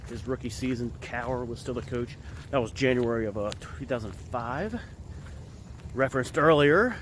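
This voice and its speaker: Man, cold-roughened voice, casual halting vlog talk on a walk outside; faint ambient noise, drifts off-mic at times. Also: medium pitch. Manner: rambling, halting with many fillers. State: hoarse from a cold. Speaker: vlogger giving football picks. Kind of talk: casual outdoor vlog chat. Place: outdoors at an empty field in winter.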